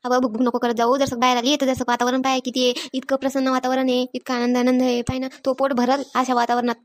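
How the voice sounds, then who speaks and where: A woman's voice: high-pitched, little pitch movement, fast talker, close to an everyday voice. A woman with a Varhadi Marathi accent voicing a chatty, devout and bossy village pilgrim woman in a cartoon comedy. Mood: devout, admonishing.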